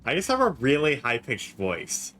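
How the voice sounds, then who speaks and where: A man's voice: mid-range voice, wildly swooping pitch, normal speed, fairly bright tone. A man chatting while playing a co-op game, asking curious questions and making wry remarks. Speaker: co-op player. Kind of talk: casual gameplay chat.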